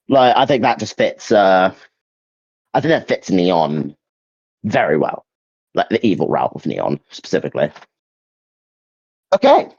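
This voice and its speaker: A dull, lo-fi recording of a young man giving casual gaming opinions. His pitch moves around a lot and is very expressive. He often stumbles and restarts as he hunts for the right words. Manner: hesitant, searching for words, repeats himself. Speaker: video game ranking host. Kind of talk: casual opinion chat about video games. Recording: dull, lo-fi mic.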